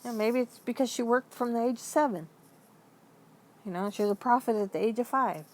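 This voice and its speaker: A woman's voice: on the high side, fairly quick. A woman talking casually and emphatically as she vents her opinions in a rambling, conversational online commentary. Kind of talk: casual opinion vlog, venting.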